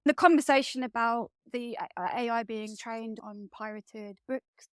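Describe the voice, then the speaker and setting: Female author guest, highish voice, conversational, reworks sentences mid-thought, dry close sound. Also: fairly even intonation, a little slow, smooth, no room sound. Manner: hesitant starts, restating phrases as she reasons. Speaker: guest author being interviewed. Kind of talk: conversational interview, thinking aloud.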